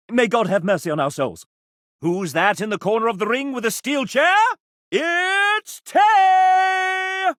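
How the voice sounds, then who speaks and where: A man voicing a sassy, pompous, playful gentleman who hosts and narrates a video game in a showy, theatrical way. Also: high voice, dry recording, studio quality, heavily acted. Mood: playful announcing, then showy, excited. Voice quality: animated theatrical delivery. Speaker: game show style host and narrator.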